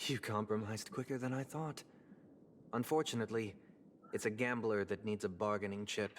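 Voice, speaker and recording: An actor playing a composed, menacing, high-ranking gentleman in a video game, speaking in a measured, formal, condescending way. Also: slight background noise, natural voice. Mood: quietly menacing. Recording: game dialogue with music underneath.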